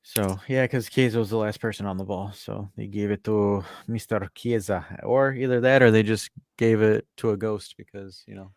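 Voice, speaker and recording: A man with a lowish voice trades playful jokes as a podcast co-host. The sound is dry and close-miked, with no room around it. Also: fairly even intonation, normal speed, hardly any pauses, no room sound. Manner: jokey, playful banter. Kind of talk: casual sports chat.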